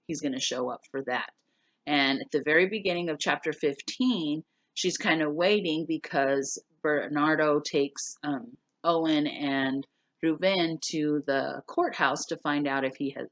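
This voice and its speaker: A woman's voice: sits low, no echo. A woman teacher talking through and reading a class novel aloud for students, explaining things simply. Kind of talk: casual spoken recap and story read-aloud for students.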